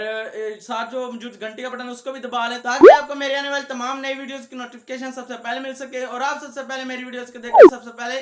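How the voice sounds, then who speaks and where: A young man with a high voice hosting a vlog and talking directly to his viewers. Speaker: video creator, host. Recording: echoey room.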